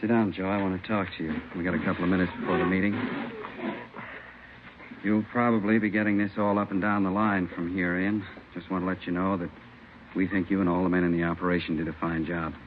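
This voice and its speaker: Man doing serious police captain, deep voice, no nonsense, muffled old radio drama. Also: level tone, natural voice. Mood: grave. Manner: brisk, businesslike, sober. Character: plain-spoken, grave male police narcotics captain. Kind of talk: 1950s radio drama acting. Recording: muffled old radio recording, faint noise.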